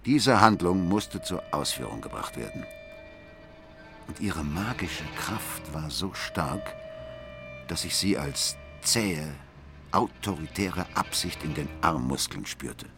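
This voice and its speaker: Brooding, deep-voiced obsessive husband. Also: male voice, lively intonation, vocal fry, heavily acted. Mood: cold, intent. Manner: deliberate, intense, precise, dramatic swings. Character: brooding, obsessive husband coldly recounting his grim plan. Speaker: first-person narrator of an audio drama. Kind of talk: literary radio drama narration. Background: music.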